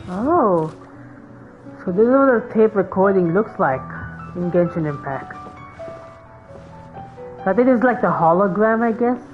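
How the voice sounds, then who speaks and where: A woman's voice: mid-range voice, lively intonation, unhurried, pauses often. A woman giving casual let's-play commentary, musing aloud and reacting in short remarks. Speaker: game streamer. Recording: muffled lo-fi mic over game music.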